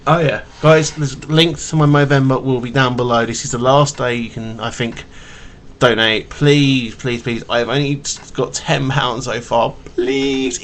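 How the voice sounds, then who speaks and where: A man with a slight stutter reading a picture book to his young child in a playful, animated way, with casual asides, recorded on a home webcam. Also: mid-range voice, slight background noise. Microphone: webcam mic.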